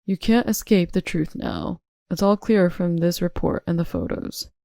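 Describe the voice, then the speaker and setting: Woman doing a stern husband in a drama story, cold and accusing, darker mellow tone, dry recording. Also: lowish voice, very animated, moderate pace, vocal fry, dry recording. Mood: cold accusation. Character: stern wronged husband, cold and resolute adult man.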